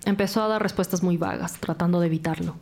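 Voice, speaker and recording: A woman's voice: fairly low pitch, steady tone, fast, darkish tone, a little hiss or hum, close to an everyday voice. A woman playing a methodical, level-headed police detective in an audio drama. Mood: thoughtful.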